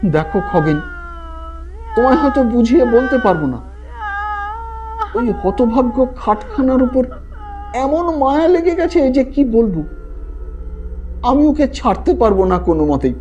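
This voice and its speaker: A man voicing a stubborn, excitable man in an audio story, speaking with dramatic emphasis. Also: very high voice, a little slow, pauses often, lo-fi sound, background noise, voice pushed up. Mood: pleading. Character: stubborn, excitable young man attached to his haunted bed. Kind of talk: acted dialogue in an audio story. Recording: music behind the voice.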